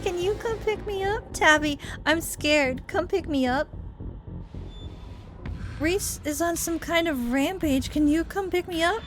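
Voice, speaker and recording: A woman voicing a scared young game heroine in a pleading manner. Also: a bit bright. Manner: high, pleading, drawn-out. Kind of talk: amateur game character voice. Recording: music behind the voice.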